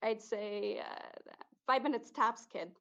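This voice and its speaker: A woman's voice: on the high side, takes it slowly, vocal fry, a bit bright, dull audio, exaggerated voice. A young woman playing a jaded male spirit in a girl's body, talking streetwise in a student stage play. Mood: resigned, gruff. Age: young adult. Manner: streetwise, drops grammar, calls listener kid. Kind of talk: student one-act stage acting.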